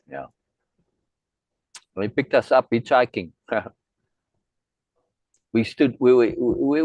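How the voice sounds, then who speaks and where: A man's voice: mid-range voice, a little mellow, dry recording, highs cut off. Chatty middle-aged South African man. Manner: rambling, friendly, many restarts and asides. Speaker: host. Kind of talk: casual chat on a video meeting.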